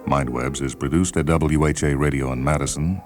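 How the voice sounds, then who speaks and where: An American man reading a literary short story as narrator, in a measured, deliberate manner. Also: rumbling low, fairly even intonation, over music. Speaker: narrator and reader of short stories.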